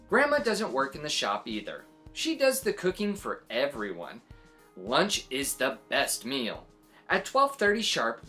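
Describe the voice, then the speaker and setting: Female children's read-aloud host: low register, very animated delivery, expressive reactions, soft music underneath. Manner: exclaiming, expressive, adds little reactions. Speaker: children's story reader and host.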